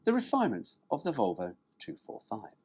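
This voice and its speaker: A man's voice: fairly low pitch, wildly swooping pitch, a little fast, a little mellow, a little hiss or hum. A man reading a brochure aloud as a presenter, dropping in cheeky, irreverent, sweary asides.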